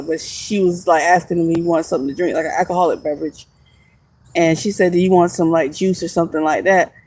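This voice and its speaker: A woman's voice: fairly low pitch, a little mellow. A woman in her mid-thirties chatting casually on a livestream from outdoors in the woods, laughing often and teasing her viewers. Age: 30s. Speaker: vlogger.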